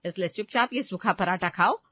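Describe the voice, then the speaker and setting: A woman's voice: medium pitch, brisk, dry recording, natural voice. A bossy older mother from an audio story, played by a woman. She nags firmly and takes charge. The sound is dry and slightly muffled. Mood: stern, nagging. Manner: firm, nagging. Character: bossy older mother scolding her husband. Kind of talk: Hindi audio story dramatization.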